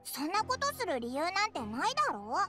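A woman's voice: huge pitch swings, a little fast, heavily acted. A woman voicing a tiny, childlike, cheeky, food-loving fairy companion in a Japanese anime game. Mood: doubtful. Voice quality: squeaky, childlike. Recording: studio voice under game music.

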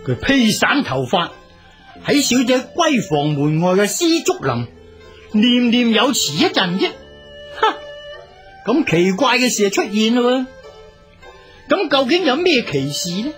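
A man's voice: extremely high pitch, sing-song, a little slow, pauses often, fairly bright tone, faint music behind, full character voice. An actor playing an anxious old man and storyteller in a radio drama, exclaiming theatrically. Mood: suspenseful storytelling. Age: older. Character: anxious old male companion of a master, also storyteller.

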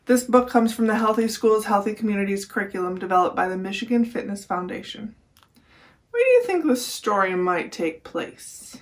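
A woman's voice: mid-range voice, very animated, normal speed. A young American woman reading a picture book aloud to children. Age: young adult. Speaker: nutrition educator reading to children.

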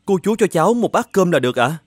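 High-voiced, shy young male student. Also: very animated, fast, no echo, studio quality. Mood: shy, polite. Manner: hesitant, deferential. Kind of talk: animated moral-tale dubbing.

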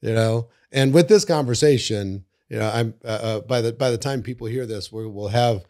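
An older man hosting a podcast interview in a studio, talking casually into a boom mic, often repeating words and trailing off quietly. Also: moderate pace. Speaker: podcast host. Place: studio with bookshelves. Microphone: studio mic on boom arm.

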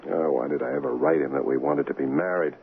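An actor playing an exasperated, sardonic young fiancé in a radio drama, casual and grumbling. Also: male voice, rumbling low, fast, gravelly. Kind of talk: 1970s radio drama acting. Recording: tinny, noisy 1970s radio broadcast.

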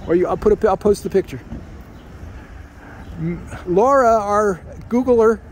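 A man with a fairly high voice chats with his walking tour group outdoors on a city street. He asks encouraging questions and keeps things casual. The sound comes from a handheld camera, with faint traffic noise behind him. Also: unhurried.

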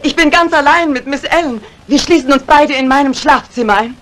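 An actress playing a brisk, dry-witted, commanding mistress of the house in a 1950s German radio mystery. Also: female voice, high voice, fairly bright tone. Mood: urgent, controlled. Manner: composed, commanding, wry. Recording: noisy old 1950s radio recording.

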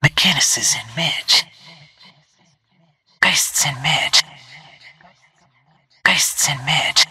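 A woman playing a stealthy, cool-headed assassin in a video game, giving terse, controlled callouts in a hushed, husky whisper. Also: low-pitched, expressive, extremely slow, long pauses, no echo, exaggerated voice.

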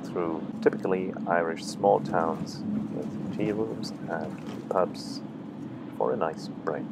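Low, gravelly male narrator for a scenic travel film, measured and calm, with audible background hiss.

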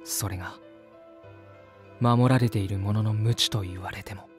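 A man's voice: fairly low pitch, steady tone, measured pace, faint music behind, lightly acted. An actor playing an earnest, peace-loving, uneasy man, polite and reflective, in a voice drama. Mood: reflective sorrow. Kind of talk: voice drama dialogue and inner monologue.

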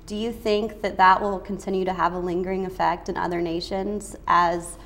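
Young female interviewer, lowish voice, faint room noise, studio lapel mic. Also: flat delivery, moderate pace, a bit bright. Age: young adult. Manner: polite, brief affirmations. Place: TV studio set.

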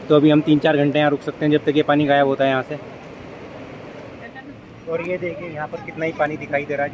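A man's voice: fairly high pitch, noisy background. Chatty man vlogging from a car. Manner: chatty, pointing things out to viewers, mixing in English. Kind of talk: casual narration while driving. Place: inside a car.

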